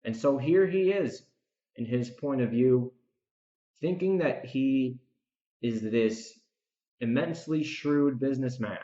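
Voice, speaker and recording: A man talks alone as a podcast host, working through his commentary with emphatic, repeated phrases. His voice sits at an ordinary male pitch. The recording is roomy and a little dull, with audible echo around his words. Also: takes it slowly, pauses often, warm tone. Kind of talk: reflective solo recap commentary, part rant.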